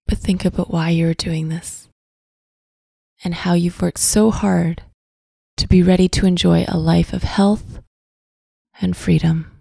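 A woman narrating a scripted guided visualization in a soft, breathy, soothing voice, with deliberate phrasing and long pauses. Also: on the low side, steady tone, dry recording, clean studio sound. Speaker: guided meditation host.

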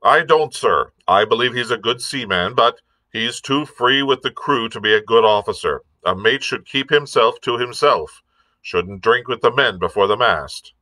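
A man's voice: medium pitch, animated, normal speed, sharp tone, dry recording, voice pushed up, rough recording. A man reading a storybook, voicing a blunt, stern and prickly sea captain in an emphatic, clipped manner. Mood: curt, disapproving. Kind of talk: audiobook character reading.

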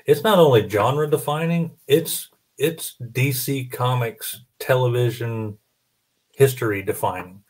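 A man's voice: mid-range voice, unhurried. A middle-aged man hosting a casual panel chat, rambling a little as he thinks aloud. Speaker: host of a collector panel. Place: small home room, indoors, video call. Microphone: studio mic on boom arm close up.

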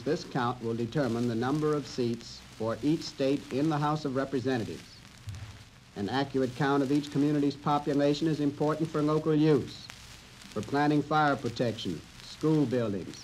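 Middle-aged American male official, formal scripted address, medium pitch, light crackle of an old soundtrack. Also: a little mellow. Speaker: census director giving an address.

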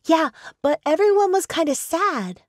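A woman's voice: very high voice, expressive, a little slow, darkish tone, no room sound, studio quality, pitched above the performer's own voice. A woman voicing Sophie, a chatty, sweet young girl.

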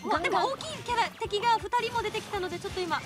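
A woman guest plays a game and chats in a very high, quick voice. She is polite and exclaims in surprise, with loud game music behind her. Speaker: guest player on a radio show. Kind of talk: casual chat while playing a game.

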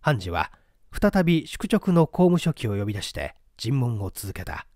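A man's voice: medium pitch, big pitch swings, moderate pace, a little mellow, no echo, clean studio sound. A man reading a mystery story aloud as scripted narration. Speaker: audiobook narrator.

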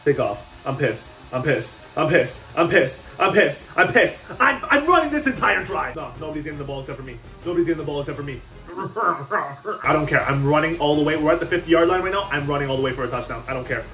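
A young man with a fairly high voice reacts loudly to his own video game football plays, hyped and joking, chanting lines over and over. He sounds a little far from the mic in a roomy space. Soft music plays underneath. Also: lively intonation, brisk. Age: young adult. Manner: hyped, playful, repeats chants, trash talk. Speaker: gaming YouTuber. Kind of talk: excited gameplay commentary. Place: small room indoors. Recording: roomy, slightly distant, faint music.

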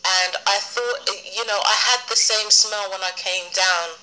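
Woman, British accent, very forceful bright tone, firm manner, phone call played from voice recorder. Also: mid-range voice, fairly even intonation, measured pace, a little hiss or hum. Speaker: support client on a phone call. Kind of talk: casual phone conversation. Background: phone call replayed from a handheld voice recorder.

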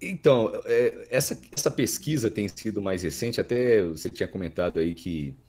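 A Brazilian man in his thirties with a fairly low voice talks as a podcast guest. He explains things casually and haltingly, often checking in with small tag questions. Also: lively intonation, warm tone, breathy edge. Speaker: guest, voice actor.

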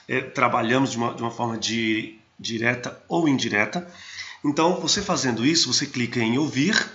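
Bright-voiced man giving a software tutorial. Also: mid-range voice, lo-fi sound. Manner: hesitant, frequent restarts and fillers. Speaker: host of a geotechnology channel. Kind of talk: screen-recorded software tutorial.

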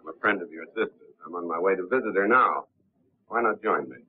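Cool smooth detective guy, lowish voice, old tinny 30s movie sound. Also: fast talker. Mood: easy, composed. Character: suave, cool gentleman sleuth posing as a lawyer. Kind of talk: 1930s film acting. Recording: muffled, tinny 1930s film soundtrack.

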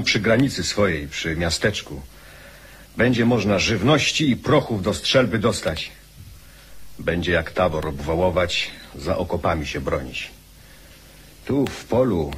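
A man's voice: deep voice, fairly bright tone, husky, exaggerated voice. An actor playing a stern, insistent army officer in a radio drama, urging his men onward.

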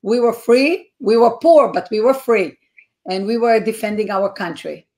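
A woman's voice: on the high side, moderate pace, a little mellow. A middle-aged woman, a guest on a video call, speaking earnestly with frequent "uh" fillers. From Israel. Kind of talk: interview storytelling. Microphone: video call webcam.

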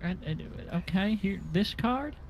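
A man's voice: high-pitched, animated, normal speed. A man playing a dim, childlike deputy, hesitant and eager to please, stuttering through a comedy game roleplay. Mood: naive, timid.

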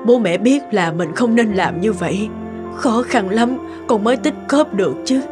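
A woman voicing a gentle, sorrowful cartoon mother who speaks earnestly and apologetically. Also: mid-range voice, lively intonation, moderate pace, natural voice. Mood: regretful. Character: gentle, sorrowful mother explaining to her child. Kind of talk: Vietnamese cartoon voice acting. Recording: music behind the voice.

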